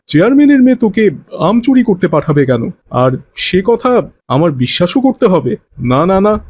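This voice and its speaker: A man plays a righteous village father in a moral cartoon. His pitch is a little high for a man. He lectures in a measured, stern but forgiving manner. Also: dark tone, slightly rough, exaggerated voice. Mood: disapproving.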